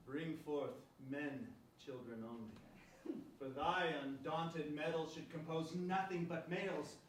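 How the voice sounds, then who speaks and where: A man's voice: on the high side, slow. A man playing a tormented, volatile warrior lord in theatrical classical stage verse. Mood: awed. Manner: theatrical, swinging from outbursts to murmurs. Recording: very echoey room, distant mic, faint noise.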